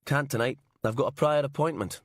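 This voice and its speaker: A man's voice: mid-range voice, brisk, dry recording, voice pushed up, close to an everyday voice. A man reading an audiobook, voicing a weary, dry-spoken male detective. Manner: dry, terse.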